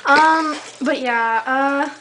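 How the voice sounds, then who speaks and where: High-voiced young woman teasing on a call. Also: very slow, pushed and bright. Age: young adult. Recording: noisy amateur home camera recording.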